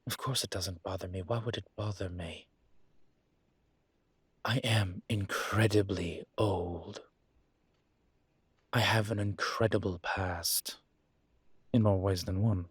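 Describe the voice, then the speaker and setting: A man playing an ancient incubus teacher in an intimate audio roleplay, courteous and earnest, with measured, hesitant, formal speech and a husky voice. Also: deep voice, fairly even intonation, no echo, heavily acted. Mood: reassuring, earnest. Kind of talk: intimate one-sided audio roleplay acting.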